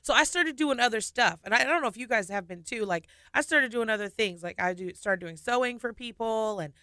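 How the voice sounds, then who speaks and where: Bright, laughing woman radio guest. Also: a little high, brisk, dry recording. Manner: lively, laughing, candid storyteller. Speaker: singer and nurse guest. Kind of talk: casual radio interview. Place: radio studio.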